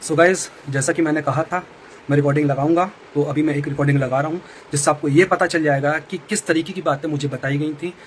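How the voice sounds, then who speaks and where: Brisk, slightly high male vlogger with an earnest, explanatory delivery. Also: steady tone, a little hiss or hum. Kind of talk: talking to camera.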